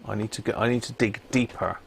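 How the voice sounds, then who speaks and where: A man with a creaky, gravelly voice hosts a relaxed hobby livestream. He chats in a matey way and mutters to himself while tinkering, with noticeable background noise around him. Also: on the low side, fast talker. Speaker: livestream host and electronics hobbyist. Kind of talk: casual live chat while working at a bench.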